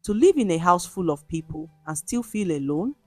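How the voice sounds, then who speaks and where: A woman voicing a frail, regretful old man, a chief near death, in a storybook tale, speaking weakly and haltingly. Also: fairly low pitch, very animated, dark tone, no room sound, soft background music. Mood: sorrowful, confessional. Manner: weak, halting, confessional. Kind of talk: storybook narration dialogue.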